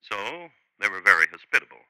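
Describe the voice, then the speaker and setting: An American man narrating a 1950s radio crime drama in a measured, dryly wry, formal manner. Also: lowish voice, big pitch swings, pushed and bright, dry recording, heavily acted, poor audio. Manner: measured, dry, wryly formal. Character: wry, unhurried male crime story narrator. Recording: vintage 1950s radio broadcast.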